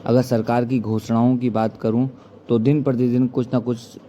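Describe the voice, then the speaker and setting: A man's voice: medium pitch, level tone, normal speed, warm tone, dull audio, a little hiss or hum. A man presents a political explainer as an activist, speaking earnestly in formal diction. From Uttarakhand, India. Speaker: activist commentator. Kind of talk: political explainer to camera or voice-over.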